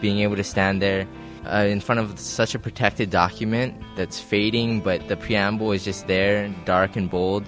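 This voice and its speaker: A man's voice: lowish voice, steady tone, moderate pace, music in the background. A teenage boy from Hawaii, speaking reflectively and earnestly about his experience. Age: teens. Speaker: camper.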